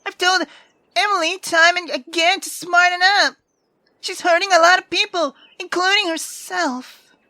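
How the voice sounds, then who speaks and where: A woman's voice: very high voice, steady tone, a little slow, pushed and bright, no echo, pitched above the performer's own voice, full character voice. A woman voicing a brash, sarcastic sixteen-year-old girl who is theatrical, teasing and foul-mouthed in a visual novel read-aloud. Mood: exasperated.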